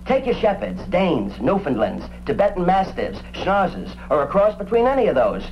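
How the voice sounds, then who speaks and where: High, lively, chatty showbiz agent. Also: male voice, normal speed. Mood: knowing, emphatic. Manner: folksy, slangy, garrulous. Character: chatty, proud male animal-act agent, showbiz enthusiast. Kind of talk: old-time radio drama acting. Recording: muffled, faintly noisy old radio recording.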